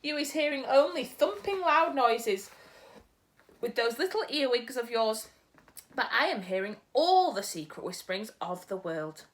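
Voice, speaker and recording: Gentle male giant with odd mangled grammar, earnest and kindly, lively rising and falling tone, as a woman reads him in a storybook. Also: on the high side, a little slow, pitched above the performer's own voice. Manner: quirky broken grammar, warm earnest storytelling.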